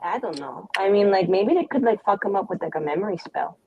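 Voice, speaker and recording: A woman chatting in character during a tabletop roleplaying game, animated, acting out the lines of the people she quotes. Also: medium pitch, a little fast, lo-fi sound, soft background music. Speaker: player. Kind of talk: casual in-character roleplay chat.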